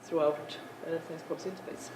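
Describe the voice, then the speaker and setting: A young woman, an English speaker, giving a halting conference talk with many filler sounds. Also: low voice, steady tone, a little mellow. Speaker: panel speaker. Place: large conference room. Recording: echoey conference room, noisy.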